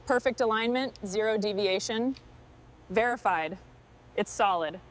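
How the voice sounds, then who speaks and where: A woman supervising a truck restoration, giving crisp, businesslike commands. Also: mid-range voice, a little slow. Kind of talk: scripted workshop dialogue.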